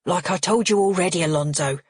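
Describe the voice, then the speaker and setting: A menacing older father with a criminal edge, voiced by a woman narrator in an audiobook thriller. He growls and snaps resentfully in a low, husky voice, recorded close and dry. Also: measured pace, fairly bright tone, no echo, exaggerated voice. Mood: snapping, sneering.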